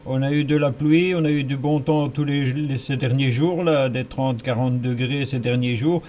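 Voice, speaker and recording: A man's voice: mid-range voice, steady tone, normal speed, a little mellow. A man talking casually as an amateur radio operator over two-way radio, matter-of-fact and repeating his phrases. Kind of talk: casual radio contact chat. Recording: slightly noisy radio transmission.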